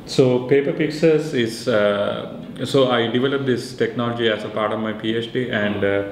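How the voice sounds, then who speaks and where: A man in his thirties with a Sri Lankan accent, explaining his research project in an informal interview, pausing often mid-sentence. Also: mid-range voice. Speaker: researcher and maker presenting a project. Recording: echoey room with faint background noise.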